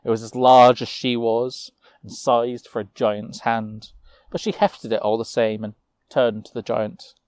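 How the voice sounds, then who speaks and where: A man narrating a fantasy tale as a storyteller, with a measured, theatrical delivery. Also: medium pitch, little pitch movement, a little mellow, no echo.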